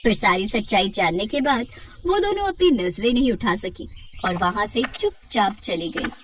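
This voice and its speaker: A woman's voice: mid-range voice, animated, moderate pace, recorded from a distance, slight background noise. A woman narrating a scripted story in a dramatic storytelling style.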